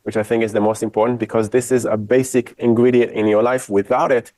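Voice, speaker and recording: A man's voice: mid-range voice, level tone, fast, dark tone, no echo. A man from Israel giving a university lecture off the cuff, speaking frankly and giving his own opinions.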